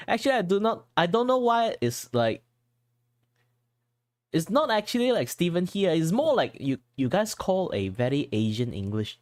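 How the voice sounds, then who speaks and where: A man's voice: sits high, very animated, moderate pace, no room sound, studio quality. A young man from Malaysia chatting casually while drawing on stream, rambling with lots of fillers and restarts and switching at will into Malaysian English. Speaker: art streamer.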